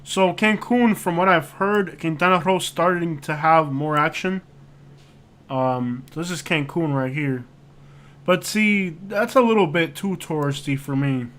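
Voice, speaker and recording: A man with a fairly high voice hosts a loose, unscripted livestream. He rambles as he reacts to what he sees and leans hard on filler words. His delivery is casual and conversational throughout. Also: unhurried, a bit bright. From United States. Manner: rambling, heavy on fillers like "like" and "you know". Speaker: livestream host. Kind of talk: casual live-stream chat while browsing street views.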